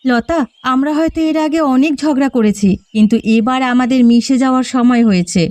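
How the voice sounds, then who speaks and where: Woman acting high-pitched theatrical cartoon village housewife. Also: a little fast, darkish tone, no echo, natural voice. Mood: earnest, confiding. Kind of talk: dramatic Bengali cartoon voice acting. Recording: music under the voice.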